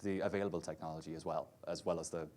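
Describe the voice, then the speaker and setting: Very deep, flat-voiced man chatting. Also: rapid-fire. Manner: chatty, many fillers like "sort of" and "like". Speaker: VR developer, panel speaker. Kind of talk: casual panel talk.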